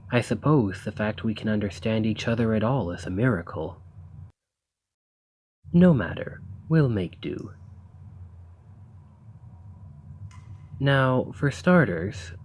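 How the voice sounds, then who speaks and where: A man acts out an eager scholar of ancient civilizations for an audio roleplay. He speaks a little slowly in a fairly low, husky voice. His phrasing is formal and courteous, with bookish hesitations. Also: darkish tone. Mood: earnest, persuasive. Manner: formal, bookish, polite, halting. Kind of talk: scripted ASMR roleplay acting.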